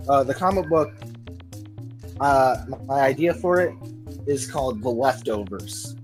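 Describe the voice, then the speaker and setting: A man's voice: medium pitch, lively intonation, a little slow, a little mellow. Brief: young male podcast host, goofy and self-deprecating, faint music behind. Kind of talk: casual comedic podcast chat.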